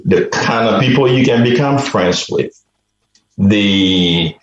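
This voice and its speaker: A man from Liberia, a guest on a radio interview, telling a personal story slowly and reflectively, often restarting his sentences. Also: fairly low pitch, very echoey, lo-fi sound. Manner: slow, reflective, with repeated restarts.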